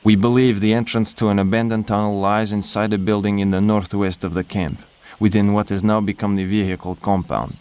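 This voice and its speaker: An actor playing a cautious, dutiful major in a video game, speaking in a formal, measured, reserved way. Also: male voice, on the low side, little pitch movement, a little mellow, clean tone, close to an everyday voice. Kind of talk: video game cutscene acting.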